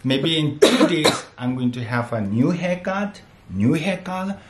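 A man in his thirties from Tibet, speaking as a non-native with a Tibetan accent, chatting casually and haltingly as a family vlogger and repeating himself. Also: on the high side, animated. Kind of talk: casual vlog chat. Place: small bright room at home. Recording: echoey room, faint background noise.

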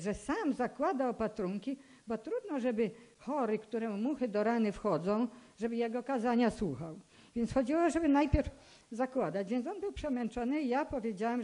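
An elderly woman in her eighties speaks in a somewhat high voice. She gives a personal testimony to a hall through a stand microphone. Her manner is earnest and admonishing, and she repeats phrases deliberately to press her point. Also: mellow. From Poland. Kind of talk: public talk, personal testimony. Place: indoor hall with stage and seated audience. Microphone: microphone on a stand, close to the mouth.

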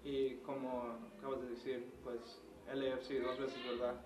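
A man's voice: mid-range voice, monotone, normal speed, a crowd in the background. A man working as a reporter, putting post-match questions hesitantly, with little tag questions, in a noisy recording. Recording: clearly noisy room recording.

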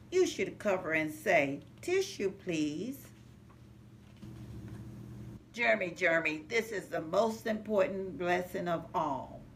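A middle-aged woman reading a children's picture book aloud in a measured, sing-song rhyming way. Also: medium pitch. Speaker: early childhood center manager reading to children. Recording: noticeable background noise, home recording.

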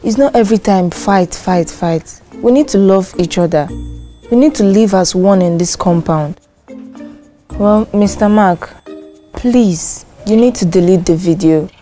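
Woman as a firm compound elder, mellow low-key voice, faint music. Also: lightly acted. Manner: firm, measured, authoritative. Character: stern female compound elder, calm and firm.

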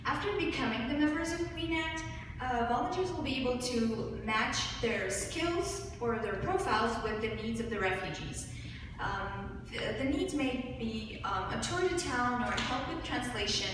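A young woman from Ukraine giving a student pitch presentation with hesitant pauses, recorded from far off in a large hall. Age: young adult. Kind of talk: rehearsed group pitch presentation. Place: large hall with seated audience. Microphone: far audience camera. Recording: echoey hall from far off, room noise.

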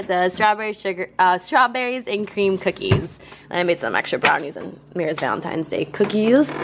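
A young woman in her twenties vlogs from her apartment with a phone held out in front of her. She talks at an ordinary middle pitch in a chatty, upbeat way and scatters "um"s through it. A faint hiss of room noise sits under her voice. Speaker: food blogger. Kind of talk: casual vlog. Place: small apartment, indoors. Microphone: phone camera held at arm's length.

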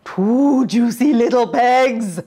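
Lady doing a greedy big bad wolf for storytime, slow n gloating, menacing. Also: a little high, mellow, breathy edge, voice pushed up. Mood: greedy glee. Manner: drawn-out, theatrical menace.

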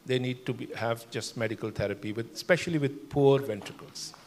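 Older man, measured panel talk in a hall. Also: mid-range voice. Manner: measured, explanatory, many fillers. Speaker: cardiologist panelist. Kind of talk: conference panel discussion. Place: large conference hall. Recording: long hall reverb.

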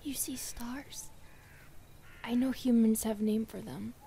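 A woman playing a loving, possessive skinwalker girlfriend in an ASMR roleplay, speaking slow broken English and often whispering. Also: a little high, steady tone, creaky, background noise. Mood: gently possessive. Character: possessive, loving female skinwalker girlfriend, feral forest spirit.

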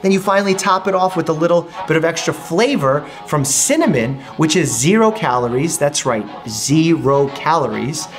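A man's voice: a little high, lively intonation, moderate pace, few pauses, faint music behind. A young man in his twenties, a doctor hosting a casual food vlog from his home kitchen, explaining health benefits in an upbeat, easy way. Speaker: doctor and channel host.

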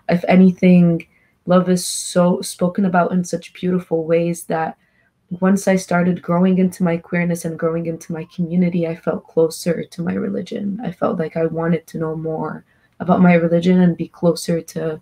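A woman's voice: on the low side, mellow. A young woman who grew up in Egypt, speaking earnestly and reflectively as a panelist over a video call from a small room. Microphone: laptop webcam video call.

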